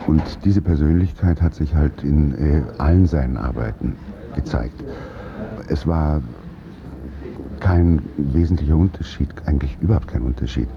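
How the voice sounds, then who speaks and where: A man's voice: extremely low pitch, moderate pace, vocal fry, highs cut off, crowd noise. A man who works as a stage actor, reminiscing in an interview in a thoughtful, hesitant, searching manner.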